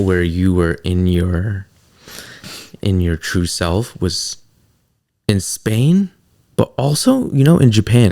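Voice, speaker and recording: A man's voice: on the low side, a little mellow. A man from New York co-hosting a podcast in casual chat, asking probing questions and teasing. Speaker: podcast co-host.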